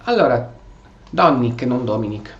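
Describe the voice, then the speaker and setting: An Italian man giving casual, excitable let's play commentary on a video game and answering the characters in role. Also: medium pitch, moderate pace, muffled recording. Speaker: gaming video host. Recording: roomy echo, faint background noise.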